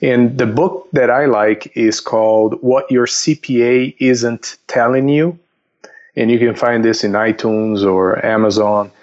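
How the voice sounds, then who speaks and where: A man with a medium-pitched voice talks as a guest expert on a podcast. He explains things step by step, stopping and repeating words as he goes. The sound is dull and lo-fi. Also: fairly even intonation, a little slow, darkish tone. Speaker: investment counselor, podcast guest.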